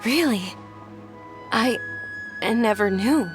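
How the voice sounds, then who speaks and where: A woman playing a gentle, courteous young noblewoman in a video game. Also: on the high side, expressive, slow, music in the background. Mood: surprised.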